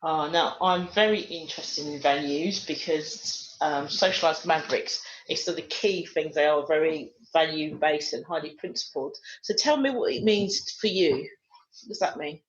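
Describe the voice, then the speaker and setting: Measured woman podcast host. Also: sits low, muffled recording. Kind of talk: scripted intro then interview.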